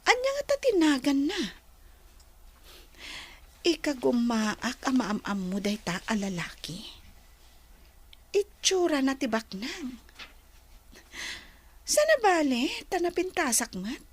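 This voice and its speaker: Woman acting a high-voiced, proud young daughter. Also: sing-song, slow, pauses often, full character voice. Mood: scheming. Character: proud, ambitious young daughter working abroad, sharp with her mother. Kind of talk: radio drama acting.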